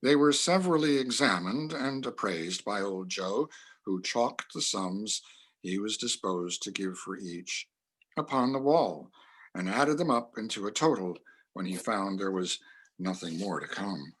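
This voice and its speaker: Low, husky male story narrator. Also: unhurried, fairly bright tone. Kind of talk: scripted narration of a classic story.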